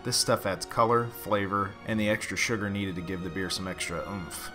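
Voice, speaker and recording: A man's voice: low voice, fairly quick, music in the background. A man hosting a homebrew show, talking casually with a playful, dry sense of humor. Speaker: host, home brewer. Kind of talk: casual scripted narration and relaxed tasting chat.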